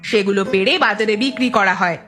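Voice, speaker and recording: A woman's voice: medium pitch, fast, over music, close to an everyday voice. A woman playing a greedy, sly and defiant village wife in a children's cartoon. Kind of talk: Bengali children's cartoon dialogue.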